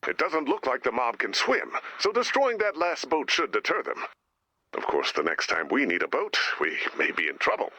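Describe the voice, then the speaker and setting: Worried, wildly swooping game comrade. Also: male voice, medium pitch, big pitch swings, moderate pace, sharp tone, a little hiss or hum, poor audio. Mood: worried, dry. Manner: worried, dry. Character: anxious, cautious, pessimistic male game comrade. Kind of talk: video game voice lines.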